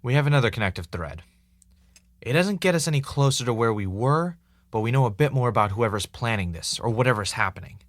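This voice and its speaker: A man's voice: mid-range voice, animated, a little fast, no room sound, clean studio sound, lightly acted. A man playing an anxious, obsessive fan in a fiction podcast, telling the story in an earnest, rambling way. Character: obsessive, anxious male fan investigating a cancelled show. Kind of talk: fiction podcast narration, conversational.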